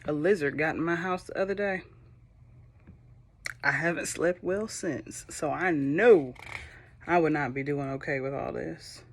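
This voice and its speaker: Slow, expressive woman reading a storybook. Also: low voice, a little hiss or hum. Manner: slow, expressive, with soft whispered moments and chatty asides; lively, playful asides to young listeners. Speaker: storybook reader. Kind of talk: reading a children's Bible storybook aloud.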